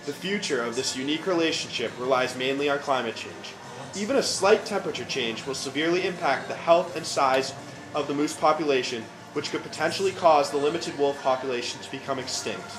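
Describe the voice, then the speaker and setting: A man reading a scripted documentary-style narration. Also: a little high. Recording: roomy echoing recording with music behind.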